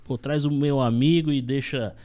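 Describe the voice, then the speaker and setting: An upbeat young man hosting a relaxed podcast, with a mid-range voice. He welcomes listeners and guests cheerfully and plugs the show's channels. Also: medium pitch, moderate pace. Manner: upbeat, promotional. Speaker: podcast host.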